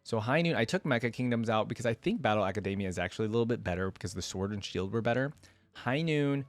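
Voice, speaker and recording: A man's voice: lowish voice, animated, quick pace. A man giving casual, unscripted reaction commentary as a video host, thinking aloud informally with frequent exclamations.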